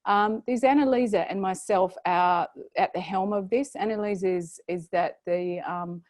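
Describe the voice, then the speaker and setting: A woman with an Australian accent presenting a paid program in a chatty, filler-heavy way, her voice going slightly hoarse. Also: mid-range voice, normal speed, a little mellow, no echo, studio quality. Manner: chatty, many fillers and restarts. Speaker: program host presenting an offer. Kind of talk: webinar sales pitch.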